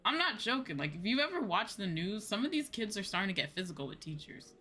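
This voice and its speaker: Bright-voiced young woman streamer. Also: mid-range voice, very animated, fast talker, a little hiss or hum. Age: young adult. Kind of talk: casual livestream chat while gaming. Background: music.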